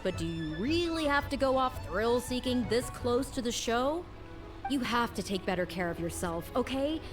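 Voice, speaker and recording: An exasperated, caring opera troupe worker from a video game. Her fairly high voice moves animatedly as she frets and scolds. It sounds a little distant, with music playing behind. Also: moderate pace. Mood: chiding, exasperated. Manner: expressive, fussing, scolding out of concern. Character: fretful, exasperated young woman of an opera troupe. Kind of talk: video game character dialogue.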